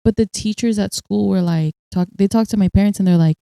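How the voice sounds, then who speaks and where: A young woman co-hosting a casual chat podcast, telling stories in an animated way and acting out what people said. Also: medium pitch, a little fast, vocal fry, no room sound. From United States. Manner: storytelling, animated, acts out quotes. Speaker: podcast co-host.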